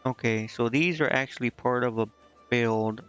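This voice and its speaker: A man's voice: mid-range voice, normal speed, fairly bright tone, clear, resonant tone. A man casually commenting on a video game he is streaming, thinking aloud and reading bits of on-screen text. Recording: slightly dull lo-fi mic, game music behind.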